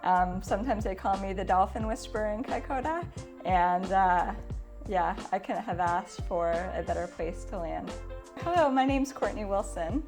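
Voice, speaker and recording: A woman in her forties from Canada with a Canadian accent, answering interview questions in an earnest, unhurried way with frequent ums as a diocesan creation care enabler. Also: mid-range voice, animated, over music.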